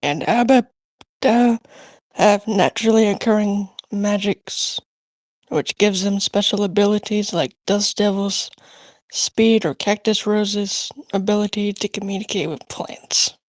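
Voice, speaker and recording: A patient cartoon schoolteacher acted by a woman, explaining things slowly and gently brushing off doubts. The recording is dry and close, with a mid-range voice. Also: medium pitch, steady tone, no echo, close to an everyday voice. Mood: instructive. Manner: measured, explanatory. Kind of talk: scripted cartoon fan voice acting.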